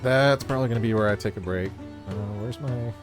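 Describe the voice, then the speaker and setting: A male game streamer with a mid-pitched voice and a quick pace. He reads dialogue and chats casually, tripping on a word now and then, with faint music underneath.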